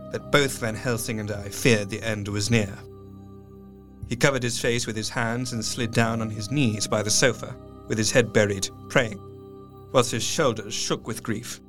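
A man as a melancholy doctor, audio drama diary narration, mid-pitched, measured, sombre, formal, music underneath. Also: close to an everyday voice.

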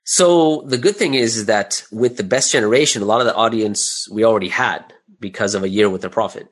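A man's voice: on the low side, a little fast. A man answering questions as an interview guest in a conversational, chatty way, often checking in with "right?" and "you know". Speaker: interview guest, audio drama creator.

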